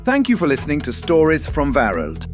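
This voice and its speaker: A man's voice: fairly high pitch, wildly swooping pitch, fairly quick, faint music behind. A man announcing a story podcast in a scripted intro and outro style.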